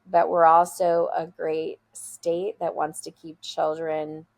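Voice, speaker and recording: A school founder being interviewed, she speaks with earnest emphasis and a rather low voice. Her tone carries a gravelly, creaky texture, and she often restarts a phrase before pushing on. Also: little pitch movement, takes it slowly. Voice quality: loud voice. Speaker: school founder, podcast guest. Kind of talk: interview answers.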